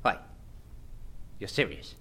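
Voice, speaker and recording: Menacing, blunt fugitive. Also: male voice, slow pace, pushed and bright. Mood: taken aback, blunt. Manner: blunt, threatening, insistent. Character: menacing, desperate male fugitive, blunt and violent. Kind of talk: audio drama acting.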